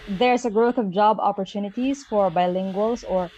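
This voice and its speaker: A woman's voice: mid-range voice, moderate pace, a little mellow, dull audio, a little hiss or hum. A woman, a Tagalog speaker, giving a guest presentation over a video call, explaining things with frequent okays and ums. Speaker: guest speaker and Spanish teacher. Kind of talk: online presentation.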